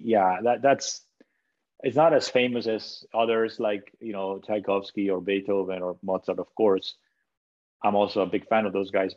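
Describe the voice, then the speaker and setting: A man from Spain chats as a podcast guest, stopping and restarting his sentences as he warms to the topic. His voice sits low. The recording is muffled and lo-fi. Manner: halting, many restarts, enthusiastic about music. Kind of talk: casual interview conversation.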